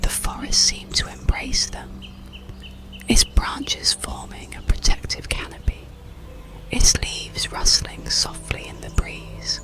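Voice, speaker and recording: A narrator reading an ASMR bedtime story in a calm, breathy, soothing whisper, unhurried throughout. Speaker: audiobook narrator.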